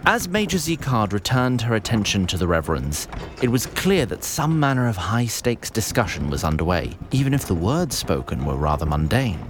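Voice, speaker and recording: Wry storyteller male actor, formal and wordy, deep with lively inflection, audio drama. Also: moderate pace, background noise. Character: wry, chatty male storyteller of a fantasy tale. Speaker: narrator. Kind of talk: scripted fantasy audio drama narration.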